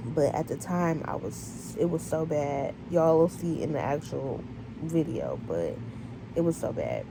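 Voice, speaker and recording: A woman's voice: low voice, animated, unhurried, vocal fry, noisy background. A young woman with a stuffy nose, candidly telling her birth story in a casual vlog, full of "like" and restarts. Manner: candid, conversational, filler-heavy. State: congested. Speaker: vlogger.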